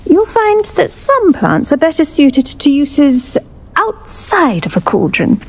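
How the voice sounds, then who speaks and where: A woman's voice: high voice, huge pitch swings, slow pace, exaggerated voice. A woman voicing a cheerful, kindly British herbology professor in a video game, effusive and encouraging in her way of talking. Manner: effusive, encouraging, plant puns. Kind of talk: video game character acting.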